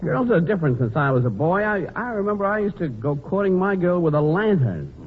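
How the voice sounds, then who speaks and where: A man's voice: high voice, sing-song, fairly quick. An older man doing silly, quipping 1940s radio comedy as a quiz panelist. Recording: tinny, hissy 1940s radio, faint audience.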